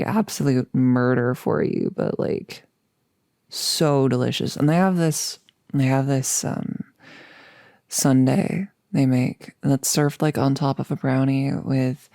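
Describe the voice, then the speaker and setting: Woman acting a low, gravelly, earnest girlfriend. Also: no echo, clean studio sound, heavily acted. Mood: chatty, wistful. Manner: hesitant, rambling, earnest, softly sighing. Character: nervous, resolute woman about to become a vampire. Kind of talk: intimate ASMR audio roleplay.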